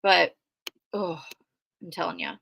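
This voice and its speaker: Outspoken woman with a bright, dry voice. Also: fairly low pitch, very animated, unhurried, gravelly, dry recording. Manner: conversational with frequent fillers. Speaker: true crime commentator reading live chat. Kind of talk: casual livestream commentary.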